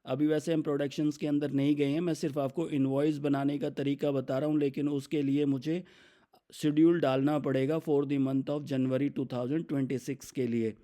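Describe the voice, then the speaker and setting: An Indian man gives an instructional software demo. His voice is relaxed and warm, sitting a little higher than most men's. He explains each step in turn and slips English business terms into his sentences. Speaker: software demonstrator.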